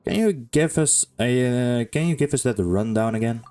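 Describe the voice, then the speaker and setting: Lively, rambling game streamer. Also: mid-range voice, moderate pace. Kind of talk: casual let's play commentary.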